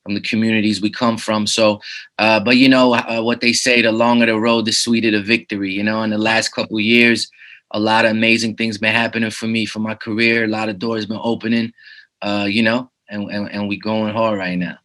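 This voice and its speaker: A man in his thirties, raised in the Netherlands, talks as a rapper guest in a relaxed, easygoing way. His voice sits low and stays fairly flat. The tone is bright and forceful. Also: fairly quick. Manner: laid-back, many "you know what I'm saying" fillers. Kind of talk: casual interview.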